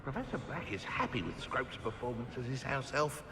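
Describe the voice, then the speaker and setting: An actor voicing a servile, fawning house elf with a nervous, stammering manner in a video game. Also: male voice, mid-range voice, moderate pace, background noise, natural voice. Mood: deferential. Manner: stammering, obsequious, speaks in third person. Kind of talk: video game cutscene acting.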